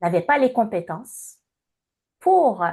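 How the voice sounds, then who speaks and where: A woman in her fifties from Montreal with a Québécois accent, answering interview questions in a measured way with many hesitations. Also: a little high, big pitch swings, a little mellow, slightly rough. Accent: Québécois French. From Montreal, Canada. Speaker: guest, entrepreneur and coach.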